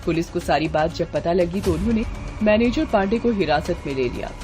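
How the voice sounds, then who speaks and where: A woman's voice: on the low side, a little fast, darkish tone, faint music behind. A woman narrating a children's horror tale in a dramatic, scripted storytelling style.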